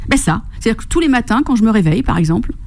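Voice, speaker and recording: Middle-aged woman from Corsica, radio guest, mellow warm tone, bubbly and chatty. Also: a little high, rapid-fire, gravelly. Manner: enthusiastic, laughs often, animated storytelling with quoted voices. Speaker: fitness and nutrition coach, guest. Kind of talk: radio interview. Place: radio studio.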